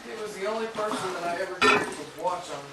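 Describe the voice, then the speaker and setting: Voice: medium pitch, fast talker. A person talking casually and in a rambling way across a room in a home recording. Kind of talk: casual conversation. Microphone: far camcorder microphone. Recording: very noisy, echoey, distant from camcorder.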